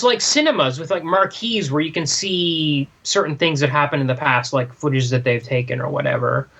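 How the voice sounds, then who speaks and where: Very high-pitched man, slightly dull sound. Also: fairly bright tone. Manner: questioning, thinking aloud in broken phrases. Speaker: podcast co-host. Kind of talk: casual discussion of a graphic novel.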